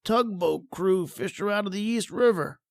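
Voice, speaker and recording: A woman playing a knowing, wry morgue attendant with a deliberate, drawn-out delivery in a 1940s radio drama. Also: medium pitch, a little mellow, dry recording, clean studio sound, close to an everyday voice. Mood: wry, matter-of-fact.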